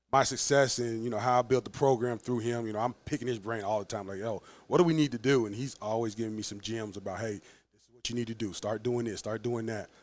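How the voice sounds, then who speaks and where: A man in his forties, a head football coach, telling stories with frequent laughs in a casual podcast interview. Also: mid-range voice. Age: 40s. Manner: animated storyteller, laughs often.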